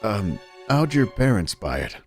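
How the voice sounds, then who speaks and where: A man's voice: on the low side, very animated, measured pace, a little mellow, faint music behind. A man playing a cocky, easygoing rich teen. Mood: breezy. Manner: breezy and slangy. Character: cocky, laid-back rich teenage boy. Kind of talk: fan dub voice acting.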